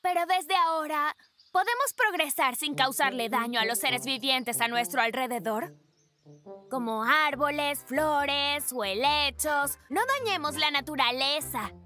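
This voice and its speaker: A woman plays a gentle, nature-loving bear cub in a children's cartoon. The voice is high and bright, and the cub speaks earnestly, pressing each point with soft insistence. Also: measured pace, soft background music. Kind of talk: dubbed children's cartoon acting.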